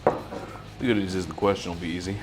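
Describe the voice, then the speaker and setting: A man's voice: deep voice, steady tone, fast talker, music in the background. A man hosting an interview show in a casual, conversational style.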